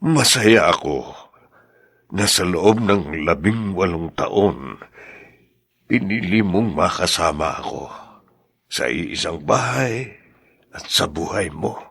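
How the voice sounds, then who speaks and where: A man playing a deep, gravelly, tender husband. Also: animated, takes it slowly, long pauses, a bit bright, exaggerated voice. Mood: tender, wistful. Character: tender middle-aged husband and father, sentimental, quietly affectionate. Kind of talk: audio drama acting in Tagalog.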